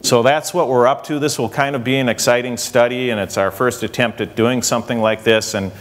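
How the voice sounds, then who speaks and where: A man's voice: mid-range voice, steady tone, fairly quick. A young man, an engineer, explaining a research study at a conference while walking around a hotel meeting room. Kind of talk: conference presentation. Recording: reverberant conference hall.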